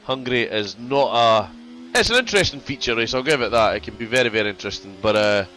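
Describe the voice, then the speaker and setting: A man's voice: mid-range voice, expressive, quick pace, bright, forceful tone. Congested man calling a race. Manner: chatty, repeats phrases, banters with co-commentator. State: congested with a cold. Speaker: sim racing commentator. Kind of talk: casual race commentary.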